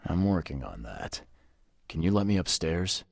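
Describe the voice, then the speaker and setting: An actor playing a gruff, wry, world-weary private investigator in a video game, speaking laconically in a husky voice. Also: male voice, very animated, measured pace, dry recording, clean studio sound, full character voice. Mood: probing. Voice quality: husky, gravelly low voice. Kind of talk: video game dialogue acting.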